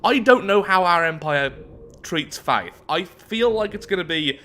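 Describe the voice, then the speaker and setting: A man's voice: high-pitched, lively intonation, a little slow, bright, forceful tone, a little hiss or hum. A man giving chatty roleplay commentary on a strategy game, thinking aloud as he goes. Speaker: gaming YouTuber host.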